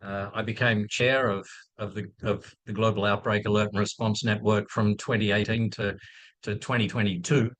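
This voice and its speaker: A man's voice: fairly low pitch, level tone, normal speed, fairly bright tone, dry recording. Hesitant Australian man, dry close sound. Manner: hesitant, many fillers and restarts. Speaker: infectious disease physician, program director. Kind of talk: unscripted talk in a webinar. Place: video call.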